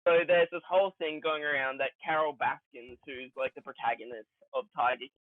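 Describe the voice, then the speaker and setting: A man's voice: fairly high pitch, steady tone, brisk, no echo. A man co-hosting a podcast, telling things in a casual, joking manner over a lo-fi microphone. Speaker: podcast co-host. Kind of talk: casual joking group chat. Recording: dull, lo-fi microphone sound.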